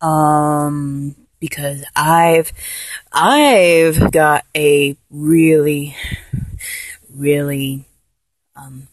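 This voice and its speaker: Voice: medium pitch, extremely slow, pauses often, no room sound. A performer voicing a man who has just been transformed from a woman, rambling and stammering with frequent giggles. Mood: cocky. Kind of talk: solo audio roleplay.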